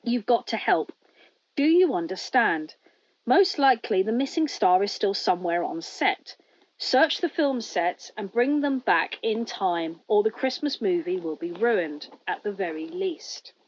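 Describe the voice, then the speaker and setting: A woman voicing a hesitant, urgent stranger pleading over studio loudspeakers in an audio story. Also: mid-range voice, lively intonation, muffled recording. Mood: worried, pleading. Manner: hesitant, halting.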